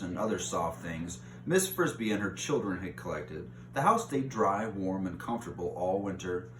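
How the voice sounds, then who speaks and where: In an echoey room, away from the mic, a man with a fairly low voice reads a children's book aloud. His delivery is informal, and he corrects himself when he trips on a phrase. Faint noise sits in the recording. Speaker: read-aloud host. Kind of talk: reading a children's novel aloud with casual asides. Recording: roomy echo, distant mic, faint noise.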